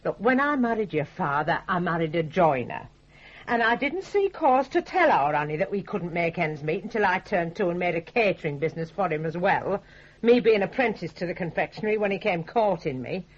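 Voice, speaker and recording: An actress playing a bossy, status-conscious middle-aged mother with a Northern English accent in a radio drama, speaking briskly in a husky voice. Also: fairly low pitch, lively intonation, highs cut off. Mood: scheming, firm. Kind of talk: 1980s British radio drama acting.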